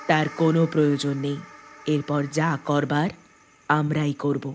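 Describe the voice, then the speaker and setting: A woman voicing the grim, vengeful ghost of a murdered village girl in an animated horror story, speaking slowly and plaintively. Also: sits low, slight background noise. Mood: mournful, vengeful.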